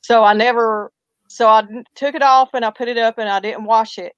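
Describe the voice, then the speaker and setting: Chatty woman, smooth higher voice. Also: steady tone, a little fast, a bit bright, dry recording. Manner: rambling, talks through restarts and hesitations. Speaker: co-host telling ghost stories. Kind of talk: casual chat and personal storytelling. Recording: muffled lo-fi audio.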